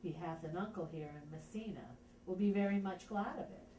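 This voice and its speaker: A woman's voice: medium pitch, fairly quick, dark tone, close to an everyday voice. A woman acting an older head of household in Shakespearean stage dialogue, advising and questioning. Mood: measured, teasing. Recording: roomy, distant, faint noise.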